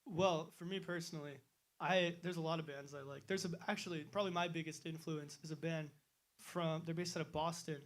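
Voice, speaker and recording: Teen male musician, somewhat higher voice, chatty and self-deprecating guest. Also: fairly even intonation, fairly quick. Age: teens. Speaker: band member guest. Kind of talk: casual interview answers.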